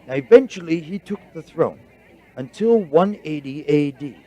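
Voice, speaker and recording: An actor playing an excitable, bookish male scholar in a radio drama, with a husky voice and a halting, explanatory delivery. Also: on the high side, highs cut off. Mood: excited.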